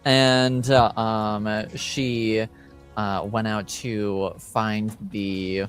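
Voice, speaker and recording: Slow, halting low-voiced man as game master. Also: flat delivery, soft background music. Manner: halting, pausing often between phrases. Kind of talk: casual recap for a streamed tabletop game.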